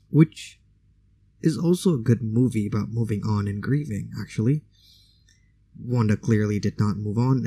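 A man's voice: fairly low pitch, creaky, warm tone, husky. A man whose first language is Indonesian, hosting a solo podcast in a conversational, opinionated way with casual asides. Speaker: podcast host.

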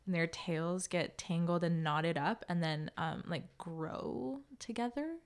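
A woman's voice: lowish voice, a little slow, clean tone. A woman co-hosting a podcast, chatting casually and explaining things in a rambling way full of fillers. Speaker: podcast co-host. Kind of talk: casual chat.

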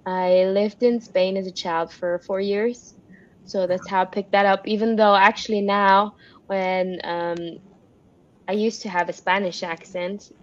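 A woman's voice: mid-range voice, steady tone, unhurried, lo-fi sound. A woman from Switzerland whose first language is Swiss German, answering interview questions in an upbeat, rambling way with many fillers. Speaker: interview guest, pro wrestler.

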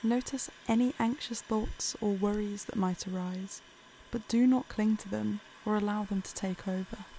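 Mellow woman guiding a meditation. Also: medium pitch, slight background noise, low-quality recording. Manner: calm, soothing, unhurried instruction. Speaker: meditation guide. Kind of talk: guided meditation narration.

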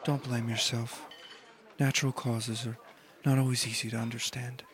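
A man's voice: medium pitch, flat delivery, normal speed, background noise, natural voice. An actor playing a meek, consoling male priest, deferential and hesitant, in a radio drama. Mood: gentle, deferential.

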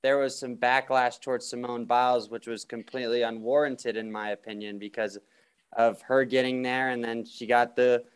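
A man hosting a casual sports conversation, relaxed and conversational. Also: mid-range voice, moderate pace, clear, resonant tone. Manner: relaxed, conversational, hesitant restarts. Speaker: host.

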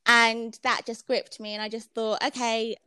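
A woman hosting a podcast, interviewing a guest on a call, bubbly and quick to giggle. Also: on the high side, flat delivery, sharp tone, clear, resonant tone, dry recording. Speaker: podcast host. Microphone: remote call.

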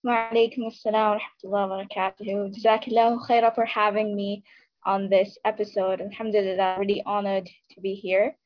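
A woman of Indian origin raised in Saudi Arabia, telling her own story as an interview guest, pausing often to find words. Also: medium pitch, little pitch movement, dry recording, muffled recording. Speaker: podcast guest, startup founder. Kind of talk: conversational personal account in an interview.

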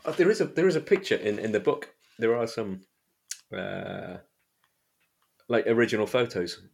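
A man with an East Midlands English accent and a very low voice hosts a book podcast. He talks in an informal, colloquial way, as in a casual chat. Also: normal speed, gravelly, slightly rough. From Derbyshire, England. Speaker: podcast host.

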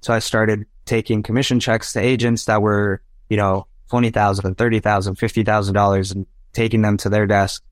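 A man's voice: lowish voice, little pitch movement, a little fast, few pauses, no room sound, studio quality. A young adult man whose first language is Spanish, earnestly telling personal anecdotes as a podcast guest and often checking in with "right?". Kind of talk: casual interview storytelling.

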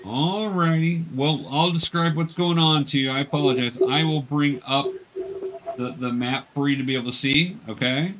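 A man's voice: on the high side, steady tone, moderate pace, fairly bright tone, low-quality recording. Man guiding a game over faint noise. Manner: patient, step-by-step explaining, hesitant. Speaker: dungeon master, teacher. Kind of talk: guiding an online tabletop role-playing game.

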